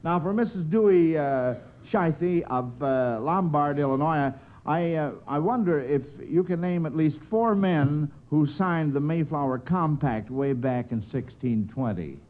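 A man's voice: fairly high pitch, unhurried, hardly any pauses, narrow, tinny audio. A man hosting an old-time radio quiz show, chatty and folksy, often stretching out his phrases as he goes. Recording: scratchy, noisy, thin old radio recording.

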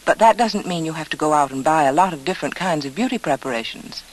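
A woman delivers a vintage radio sales pitch as hostess. Her intonation swings widely, and she speaks directly and persuasively in a scripted style. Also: lively intonation, fast, rough recording. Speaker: hostess reading sponsor advertisement. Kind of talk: 1940s radio advertisement, scripted.

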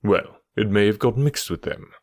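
An unflappable detective, as a male reader voices him, answers in a low, dry, unhurried tone. His voice sits low and plain. The recording is close with no room sound. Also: darkish tone, no room sound. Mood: cool. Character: calm, cool male detective.

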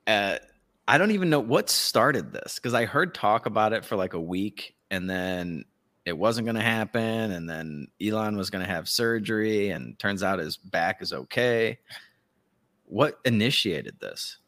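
Male podcast co-host, lower register, opinionated and slangy, loose conversational delivery. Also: expressive, measured pace, a bit bright. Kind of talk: casual news banter.

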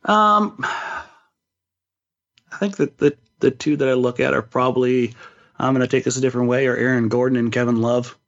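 A man's voice: mid-range voice, normal speed, pauses often, a bit bright. A man co-hosting a sports podcast, talking casually and giving his opinions in long, thinking-aloud explanations. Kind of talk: casual sports talk and analysis. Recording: slightly dull, lo-fi sound.

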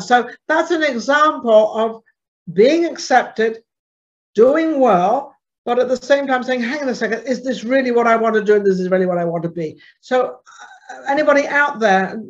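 Female interview guest, middle-aged, conversational storyteller, heard through a muffled, lo-fi remote connection. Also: on the high side. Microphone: remote call. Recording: dull lo-fi remote call.

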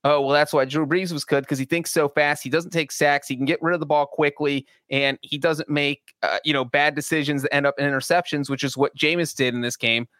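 A man on a sports podcast makes bold, emphatic calls and needles his co-hosts. His voice sits a bit high for a man, and the sound is dry and close. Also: steady tone, a little fast, hardly any pauses, a bit bright, no echo. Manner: emphatic, opinionated, teasing. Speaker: podcast co-host. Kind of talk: casual sports analysis chat.

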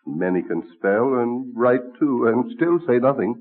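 A genial colonial statesman in an old radio play. He is courtly, measured and witty, with a lively mid-pitched voice on a muffled vintage recording. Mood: earnest. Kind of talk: vintage radio drama acting.

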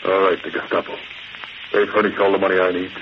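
Fat sardonic gangster boss, lowish voice, muffled noisy 40s radio show. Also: male voice, level tone. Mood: smooth, wheedling. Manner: mock-polite, sardonic, unhurried threats. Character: fat, smooth-talking older male crime boss, menacing and wheedling. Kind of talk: 1940s radio drama acting.

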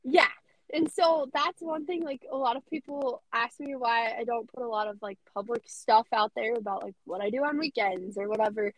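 A young woman with a high, squeaky voice, chatting casually and bubbly as a podcast guest. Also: moderate pace, dry recording, poor audio. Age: young adult. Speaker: radio host guest.

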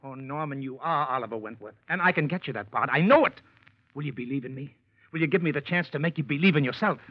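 A man's voice: fairly high pitch, animated, quick pace, dry recording. An eager young schemer talks his way in, fumbling and then persuasive. The old radio recording is dry and dull. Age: young adult. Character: eager, scheming young male talent manager. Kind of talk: 1940s radio acting.

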